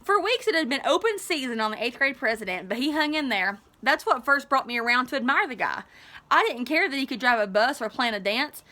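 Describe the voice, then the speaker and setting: A woman teacher reading a story aloud expressively, with an animated, informal manner. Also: high-pitched, fairly quick. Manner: animated, informal asides to listeners.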